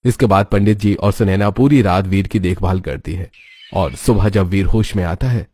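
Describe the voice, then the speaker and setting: A man's voice: sits low, normal speed, mellow, breathy edge. A man narrating a scripted moral story as its storyteller.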